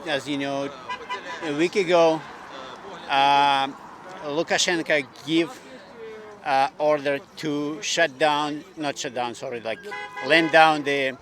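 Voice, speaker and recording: A man giving his view in an unscripted street interview, speaking haltingly with grammar. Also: on the high side, fairly bright tone. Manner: halting, non-native grammar. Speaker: rally participant giving an opinion. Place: outdoors at a city rally in Canada.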